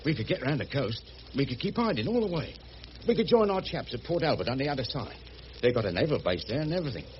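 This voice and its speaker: A male actor plays a rough but kindly riverboat man in a radio drama. His folksy, rambling speech rises and falls with great animation. The sound is muffled, with faint noise behind it. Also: medium pitch, sing-song, fast, natural voice. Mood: teasing, coaxing. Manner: colloquial, rambling, folksy working-class speech. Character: rough, good-hearted working-class boatman, chatty and affectionate.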